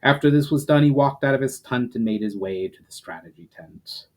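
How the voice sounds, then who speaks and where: A man reading fantasy fiction aloud as a dramatic, measured narration. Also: mid-range voice.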